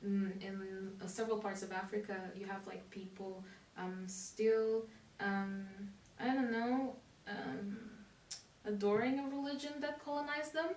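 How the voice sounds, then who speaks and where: A woman's voice: mid-range voice, steady tone, measured pace, a little mellow. A woman from Portugal hosting a casual interview, wandering through her thoughts with many fillers and asides. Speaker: host. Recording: roomy echo, distant mic, faint hiss.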